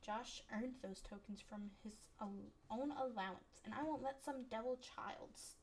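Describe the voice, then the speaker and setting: A woman's voice: a little high, a little slow, darkish tone, rough recording. A woman voicing a furious, entitled mother who shrilly accuses and berates a young boy.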